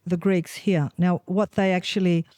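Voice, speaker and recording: An older woman hosting an interview, asking earnest questions and slowing down to stress key words. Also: on the low side, level tone, mellow, no room sound, studio quality. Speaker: host.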